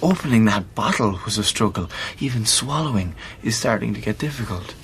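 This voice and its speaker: A man's voice: on the low side, expressive, a little fast, a bit bright, a little hiss or hum. An actor with an Irish accent playing a seriously ill man of thirty, blunt and sardonic, in a naturalistic radio play. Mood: weary, serious. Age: 30s. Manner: dry, blunt, colloquial.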